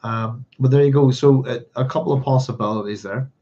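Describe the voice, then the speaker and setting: A man's voice: medium pitch, fairly quick, warm tone. Rambling Northern Irish man, slightly dull recording. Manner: rambling, scattered, often thinking aloud. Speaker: book club host. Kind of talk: informal read-along commentary on a novel.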